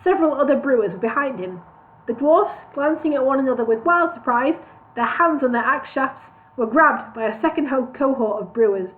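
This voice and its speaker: A woman's voice: sits high, lively intonation, brisk. A woman reading a comic fantasy novel aloud on a livestream, adding chatty asides. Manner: reads with asides, laughs at comments. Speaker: audiobook reader. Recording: tinny phone-like sound.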